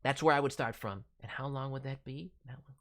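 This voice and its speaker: A man gives feedback as a voice director. He talks casually and with enthusiasm, keeping an encouraging tone at a middle pitch. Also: a little fast, fairly bright tone. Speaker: voice director reviewing demo reels. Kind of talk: casual spoken critique.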